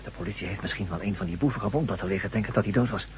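A quick-talking actor as a man in a radio drama, over faint hum. He is a sociable, pragmatic sort of friend, excitable yet wary. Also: lowish voice, close to an everyday voice. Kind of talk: Dutch radio play acting. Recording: hissy, humming radio recording.

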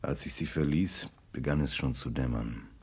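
A man's voice: extremely low pitch, moderate pace, darkish tone, breathy edge. An actor playing a remorseful man tormented by memories of lost love, narrating earnestly and lyrically in a 1960s German radio play. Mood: sombre.

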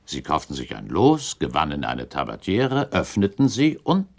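A man acting in a German radio play as a shrewd, composed gentleman accuser, speaking formally and deliberately with insistent, probing weight. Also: extremely low pitch, huge pitch swings, vocal fry, exaggerated voice.

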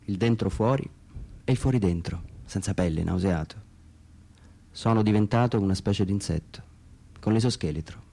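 A man reads his own literary monologue for radio theatre in a measured, reflective way, pausing between short phrases. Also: fairly low pitch, dark tone. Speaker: author reading own text.

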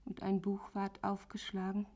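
An actress in a German radio drama playing a tormented, guilt-ridden wife who broods on death in a breathy, often whispering voice. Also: female voice, mid-range voice, monotone, normal speed, warm tone, close to an everyday voice. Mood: haunted, brooding. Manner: brooding, haunted, repeating phrases.

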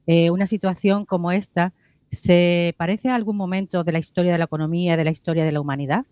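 A man's voice: high voice, fairly even intonation, fast, darkish tone, clear, resonant tone, dry recording. A man co-hosting a radio programme, introducing segments and putting questions to guests. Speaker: radio co-host.

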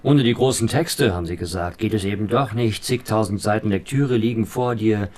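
Low-voiced, remorseful man, played by an actor. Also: a little fast, darkish tone, close to an everyday voice. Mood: mocking quote. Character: remorseful man recalling a friend he abandoned. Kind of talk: radio play dialogue.

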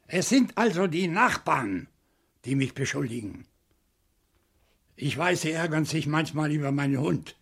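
A proud professor in a crime radio play, defensive and precise. The voice is a little high for a man and husky, with clipped phrasing. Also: animated, a little slow, pauses often, fairly bright tone, full character voice. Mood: defiant. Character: indignant, cold-blooded professor suspected of murder. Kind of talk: German crime radio drama acting.

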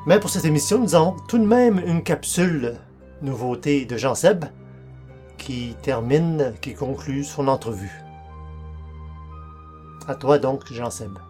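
A man's voice: mid-range voice, lively intonation, unhurried, long pauses, warm tone, faint music behind. A man presenting a podcast and introducing its segments. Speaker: podcast host. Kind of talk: show presentation.

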